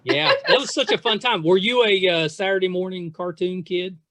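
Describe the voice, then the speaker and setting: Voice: mid-range voice, normal speed, a crowd in the background. A middle-aged podcast interviewer talking casually, with a chatty, self-deprecating, nostalgic manner.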